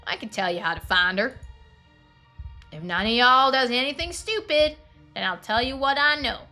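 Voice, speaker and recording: A woman's voice: a little high, lively intonation, moderate pace, sharp tone, soft background music, pitched above the performer's own voice. A woman voicing a hot-tempered, threatening rural parent in a video game, with a folksy, foul-mouthed drawl. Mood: stern. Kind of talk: video game character dialogue.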